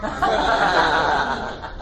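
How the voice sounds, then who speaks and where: A man's voice: fairly high pitch, animated, drawn-out words. A man hosting a convention panel, introducing his team in a jokey, enthusiastic, rambling way with lots of fillers. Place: convention hall. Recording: distant mic with loud room noise.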